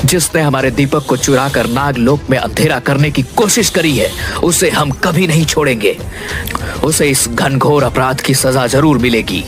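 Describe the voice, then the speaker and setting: A man voicing a stern, grandiose snake king in an animated fairy tale, speaking with formal command. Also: mid-range voice, moderate pace, lightly acted. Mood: stern, threatening. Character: stern regal snake king demanding justice. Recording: music behind the voice.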